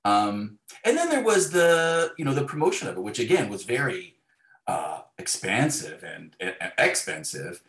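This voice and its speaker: A man's voice: medium pitch, sing-song, moderate pace, a bit bright. A man speaking as an author in a casual, reflective interview, with a wry, self-deprecating manner. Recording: echoey room.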